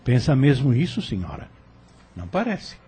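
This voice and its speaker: An actor playing a scheming landowner who persistently courts a young widowed heiress in a radio drama. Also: male voice, mid-range voice, wildly swooping pitch, normal speed, mellow, breathy edge, full character voice. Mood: persuasive.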